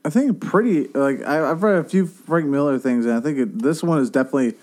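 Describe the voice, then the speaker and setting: A man's voice: a little high, quick pace, a little mellow. A man co-hosting a casual conversation about comic books, recorded in a basement.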